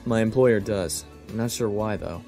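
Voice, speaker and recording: A man's voice: on the low side, moderate pace, mellow, soft background music, lightly acted. Man playing a reserved, dry-witted visitor. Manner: reserved, understated. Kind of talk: audio drama acting.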